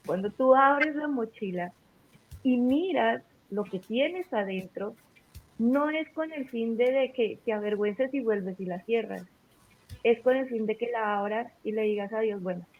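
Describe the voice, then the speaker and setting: A woman giving an earnest, repetitive faith reflection while speaking slowly. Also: fairly high pitch. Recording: tinny phone-line audio with faint noise.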